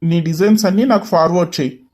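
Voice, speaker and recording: A woman's voice: on the low side, fast talker, darkish tone, voice pushed down, natural voice. A woman voicing an arrogant young male boss in an audio story, mocking and curt. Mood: stern. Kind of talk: acted dialogue in an audio story.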